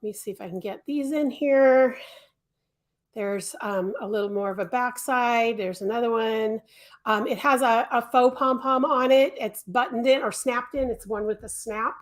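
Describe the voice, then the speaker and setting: A high-pitched woman in middle age chats casually on a livestream, her voice fraying as she loses it. She rambles warmly with frequent ums and restarted sentences. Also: moderate pace. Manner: chatty, many ums and restarts. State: losing her voice. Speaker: crochet designer hosting a livestream.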